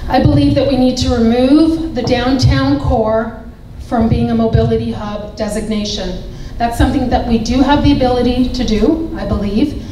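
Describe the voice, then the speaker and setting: Measured woman with a mellow voice. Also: a little high, fairly even intonation, slight background noise. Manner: measured, explanatory. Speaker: election candidate. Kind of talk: debate answer. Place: public debate hall. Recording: echoey hall, distant.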